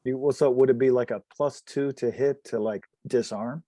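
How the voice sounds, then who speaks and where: A man's voice: mid-range voice, steady tone, normal speed, warm tone, no room sound, clean studio sound. A man chatting casually as a player in a tabletop game.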